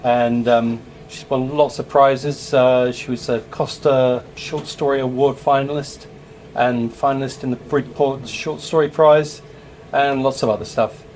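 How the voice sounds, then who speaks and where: Man, event host, relaxed and chatty intro, some ums. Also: medium pitch, a little slow, slight background noise, rough recording. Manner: easygoing, with fillers and a chuckle. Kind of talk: casual live introduction.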